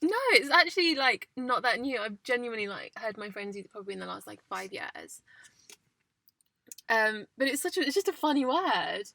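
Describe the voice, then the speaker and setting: A woman's voice: on the high side, expressive, normal speed, vocal fry, bright, forceful tone, no echo. A woman from England co-hosting a casual chat in a parked car, giggly and chatty. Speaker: podcast co-host.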